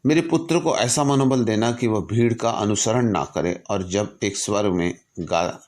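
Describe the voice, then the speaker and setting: A man telling a story reflectively in a didactic way, with measured delivery and deliberate pauses. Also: lowish voice, darkish tone. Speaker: storyteller and speaker. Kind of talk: reflective storytelling, reciting a letter from memory with asides.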